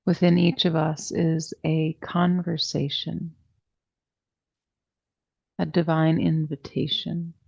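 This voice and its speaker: A woman teaching a guided meditation class, speaking in a soothing, deliberate way with long pauses and a soft-edged, creaky voice. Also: deep voice, level tone, dull audio. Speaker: yoga nidra meditation teacher.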